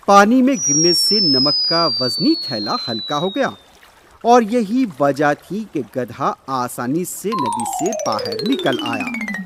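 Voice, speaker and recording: A man narrating a scripted children's fable as a storyteller, expressive and deliberate. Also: on the high side, noisy background. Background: music.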